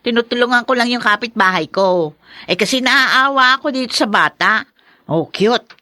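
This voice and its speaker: An eager neighbor woman coaxes and persuades in a bright, tense voice. Her voice sits a little high. The recording is dry. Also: animated, normal speed, no room sound. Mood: coaxing.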